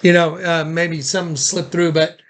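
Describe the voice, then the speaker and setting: A man's voice: on the high side, little pitch movement, unhurried. A man talking casually on a recorded phone call, urgent and insistent, often repeating his instructions.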